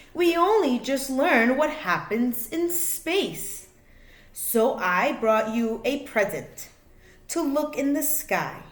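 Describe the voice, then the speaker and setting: Animated woman reading a children's storybook. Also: a little high, roomy sound. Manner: deliberate, expressive, phrase-by-phrase delivery for young listeners. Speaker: teacher reading a children's book aloud. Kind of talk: children's storybook read-aloud.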